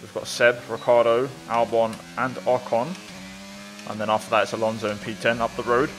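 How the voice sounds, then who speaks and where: A man's voice: medium pitch, flat delivery, moderate pace, background noise. A man giving casual, running commentary as he plays a racing game. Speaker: gaming video creator.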